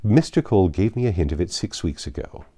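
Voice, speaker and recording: A man acting a frank, emphatic country gentleman. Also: sits low, animated, brisk, no room sound, studio quality. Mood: brusque, flustered. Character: frank, composed English country gentleman, earnest and brusque.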